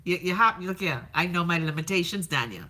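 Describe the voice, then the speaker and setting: A woman's voice: lowish voice, a little fast. A Bahamian woman hosting a livestream, chatting casually and sassily while reading out viewer comments, with plenty of profanity. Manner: sassy, profane, animated storytelling. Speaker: livestream host.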